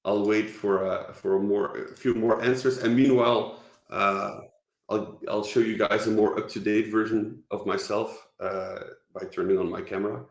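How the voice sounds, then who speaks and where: A man with a Dutch accent gives an online conference talk in a fairly low voice. He stops and restarts often, filling gaps with ums. His voice carries the echo of the room around him. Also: steady tone, gravelly. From Netherlands. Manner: halting, many fillers and restarts. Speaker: conference speaker.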